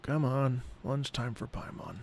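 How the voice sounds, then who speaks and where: A woman's voice: extremely low pitch, animated, unhurried, creaky, a little mellow, slight background noise. A woman doing casual, playful gameplay commentary as a streamer, with half-sung asides. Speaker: streamer reacting to a game.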